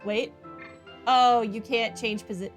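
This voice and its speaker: A woman's voice: fairly high pitch, steady tone, slow. A woman giving casual, offhand commentary as she plays through a video game. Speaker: gaming streamer. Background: game music.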